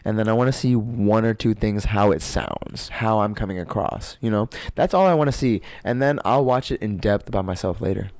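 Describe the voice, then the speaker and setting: A man's voice: lowish voice, moderate pace, few pauses, a little mellow, dry recording. A man chatting casually as a podcast guest, rambling playfully and using a lot of slang. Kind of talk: casual chat.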